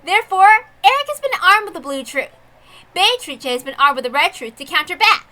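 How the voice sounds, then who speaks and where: A high, bright witch acted out by a woman makes grand proclamations. She is imperious and pompous, swooping theatrically through formal lines like a judge in a visual novel. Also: big pitch swings, brisk, exaggerated voice. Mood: solemn proclaiming. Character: grand imperious female witch presiding over a duel. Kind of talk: dramatic visual novel character reading.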